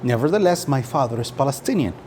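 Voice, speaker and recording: A man from Saudi Arabia, a native Arabic speaker, answering casually in an interview and often pausing and repeating words as he explains. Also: mid-range voice, lively intonation, darkish tone, a little hiss or hum. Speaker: interview guest.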